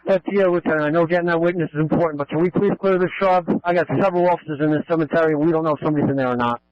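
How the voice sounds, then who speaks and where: A man's voice: on the high side, steady tone, very fast, dry recording. A man working as a field police officer, reporting matter-of-factly over a two-way radio with hesitant phrasing. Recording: dull lo-fi police scanner radio.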